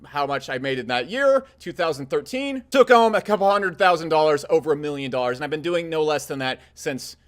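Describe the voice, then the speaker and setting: A man's voice: fairly high pitch, expressive, a little fast, hardly any pauses. A man in his thirties giving blunt, profanity-laced business advice straight to camera. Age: 30s. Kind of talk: casual direct-to-camera advice talk.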